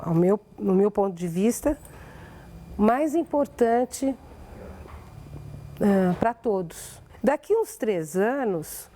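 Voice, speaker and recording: A Brazilian woman speaks in an interview style. Her voice is mellow and mid-pitched, and she takes her time, halting and pausing to stress her words. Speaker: radio station executive. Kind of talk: interview reminiscence.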